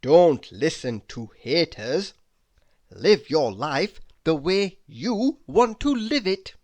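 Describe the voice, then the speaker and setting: Very slow, expressive reader with a mid voice. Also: medium pitch. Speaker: reader of quotes. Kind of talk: reading humorous motivational quotes aloud.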